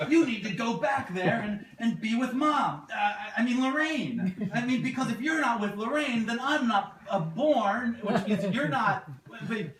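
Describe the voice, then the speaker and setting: A man voicing an excitable young time traveler in an amateur comic puppet show, halting and flustered, repeating himself. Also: extremely high pitch, full character voice. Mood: pleading, urgent. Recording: echoey distant live recording, very noisy.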